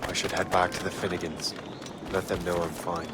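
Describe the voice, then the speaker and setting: An actor playing a guarded, blunt but decent young adventurer from the 1700s in a video game, earnest and brooding. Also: male voice, sits low, little pitch movement, brisk, very noisy, natural voice. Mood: reflective, subdued. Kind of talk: video game dramatic acting.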